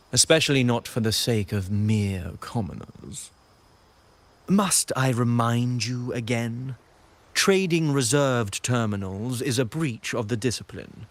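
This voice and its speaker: A man playing a pompous, menacing acolyte of a religious order in a video game, speaking in formal, threatening phrases. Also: medium pitch. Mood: condescending warning. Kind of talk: scripted video game voice acting.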